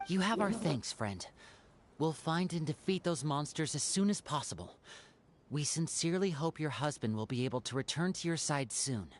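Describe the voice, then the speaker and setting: A woman's voice: sits low, animated, moderate pace, slight background noise. A woman voicing a composed young adventurer who speaks in a formal, courteous way. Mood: earnest. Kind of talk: video game voice acting.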